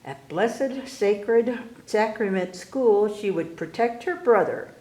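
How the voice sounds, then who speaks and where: A woman hosting a library story time, reading aloud to children with deliberate phrasing. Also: mid-range voice, expressive, dark tone. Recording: roomy, echoey indoor sound.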